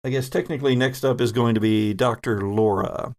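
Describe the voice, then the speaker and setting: A man narrating an improvised tabletop game as game master, building descriptions in halting, pieced-together phrases. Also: medium pitch, darkish tone.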